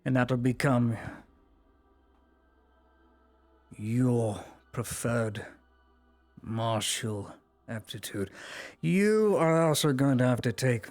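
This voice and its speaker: A man playing a cold, zealous imperial enforcer in a live roleplay, scornful and commanding, dropping to whispers and rising to shouts. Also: mid-range voice, slow pace, close to an everyday voice. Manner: swings between soft whispers and sudden shouts. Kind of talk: live roleplay in a virtual world.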